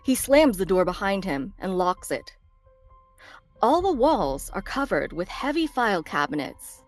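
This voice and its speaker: A woman's voice: medium pitch, big pitch swings, a little slow. A woman narrator reading screenplay stage directions as dramatic scripted narration. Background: faint background music.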